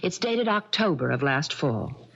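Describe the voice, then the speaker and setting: A muffled vintage radio recording carries a haughty, successful lady novelist. Her voice is low and husky, with sweeping, animated intonation and a poised, condescending air suited to old mystery drama. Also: unhurried, heavily acted. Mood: indignant. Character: haughty, well-off married woman, successful novelist. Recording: dull lo-fi old radio broadcast.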